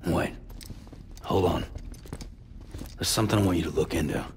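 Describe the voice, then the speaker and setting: An actor playing a gruff, reluctant loner porter in a video game, terse and cynical, muttering in a gravelly voice. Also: male voice, sits low, normal speed, noisy background, close to an everyday voice. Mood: guarded, pressing. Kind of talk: cinematic video game acting.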